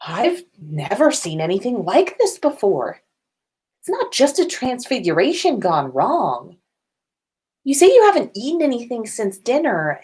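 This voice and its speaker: A woman voicing a brisk, puzzled school nurse witch in a story reading. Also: fairly high pitch, darkish tone, slightly rough, voice pushed up.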